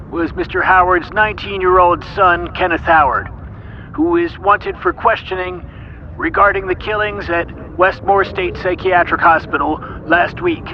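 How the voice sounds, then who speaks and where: An actor playing a stiff, official police chief giving a deliberate press statement. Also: male voice, high voice. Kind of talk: scripted press-conference statement. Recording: dull sound, outdoor ambient noise.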